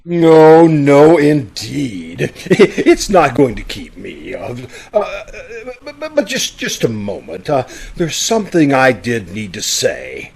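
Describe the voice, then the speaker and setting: A man's voice: mid-range voice, wildly swooping pitch, slow, vocal fry, few pauses, darkish tone, heavily acted. A man playing a flustered, pompous older school principal who stammers and blusters, in old-time radio comedy style. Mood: smitten, flustered. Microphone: video call. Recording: amateur video-call recording.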